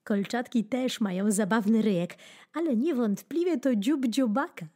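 A woman narrating a children's nature book in an expressive audiobook style, with playful, dramatic pauses and emphasis. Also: a little high. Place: studio. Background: keyboard music.

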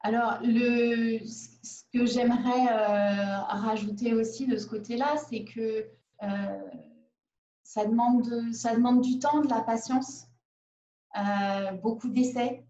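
A woman in her forties speaks over a webcam from her living room. She pauses often and restarts her sentences. Her voice is dark and mellow and a little high, and the call audio sounds dull and roomy. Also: steady tone. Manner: hesitant, many fillers and restarts. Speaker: independent perfumer. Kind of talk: conference video call interview. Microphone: laptop webcam on a video call.

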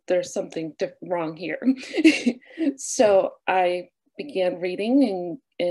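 A woman in her thirties, a nun who grew up speaking Spanish, tells her story at a slow, easy pace. She fills gaps with "um" and soft laughs. It is a webcam recording from a small bedroom. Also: medium pitch. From Argentina, raised near New Orleans. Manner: warm, unhurried, with fillers and soft laughs. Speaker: nun and vocations director. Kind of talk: casual talk, opening with a prayer. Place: small bedroom with a wooden armoire, indoors. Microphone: webcam on a video call.

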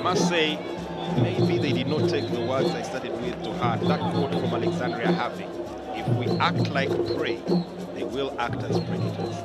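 A man with a Ugandan English accent giving live football commentary, with flowery, theatrical phrasing and shouts at the big moments. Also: mid-range voice, normal speed. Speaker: play-by-play commentator. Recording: broadcast mix with loud crowd and music.

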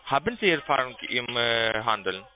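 A man working as a call center agent, giving a halting, repetitive sales pitch as a non-native German speaker. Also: very animated. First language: not German. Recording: tinny narrow phone line.

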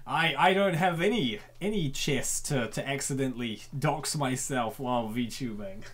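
A host reacting to and reading a comic aloud in an excitable, dramatic way, often repeating phrases. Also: mid-range voice, moderate pace. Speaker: host of comic dub show.

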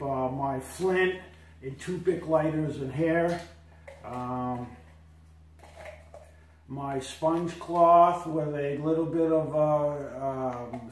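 A man in his fifties showing his hiking gear in a living room, rambling and halting with lots of fillers. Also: a little high. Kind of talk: casual gear walkthrough. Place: sunny living room with big windows. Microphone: camera across the room. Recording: roomy, from far off.

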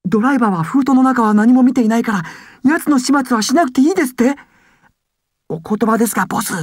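High-voiced, volatile young male gangster. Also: animated, fast talker, darkish tone, dry recording, studio quality. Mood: timid, deferential. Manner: stammering, swings from timid to explosive. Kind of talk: anime and game dramatic acting.

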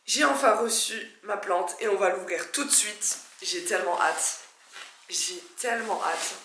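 A young woman in her twenties with a stuffy nose from a cold, chatting excitedly and poking fun at herself while she unboxes a plant in her living room. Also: medium pitch, a little slow, echoey room, background noise. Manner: chatty, excitable, self-mocking. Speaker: plant vlogger. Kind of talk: casual unboxing vlog.

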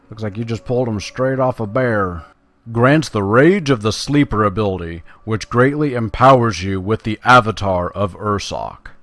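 A man's voice: lowish voice, fairly bright tone. A man giving casual, playful game commentary, chatting to himself and to viewers as he plays. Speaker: gaming video maker.

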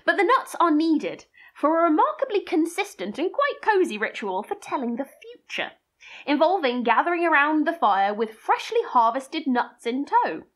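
High-pitched, animated British woman. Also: normal speed. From Britain. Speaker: podcast co-host. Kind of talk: scripted folklore narration with playful banter.

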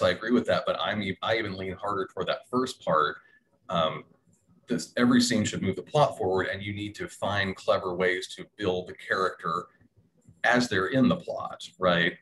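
A man's voice: deep voice, a bit bright. A middle-aged man speaking thoughtfully as a panelist in an unscripted discussion on a video call, acting out imagined asides. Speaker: panelist, audio drama writer. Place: small room at home, indoors. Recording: noisy video-call audio.

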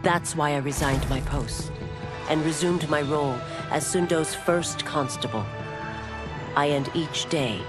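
An actress playing a plainspoken police constable, narrating a game ending over music. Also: female voice, deep voice, unhurried. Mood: steady. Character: blunt female police constable.

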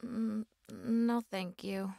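Sullen girl brushing off her dad, creaky voice, dry close sound, radio drama. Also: a little high, fairly even intonation, extremely slow, warm tone, dry recording, full character voice. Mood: guarded. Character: reluctant, sullen young daughter at bedtime.

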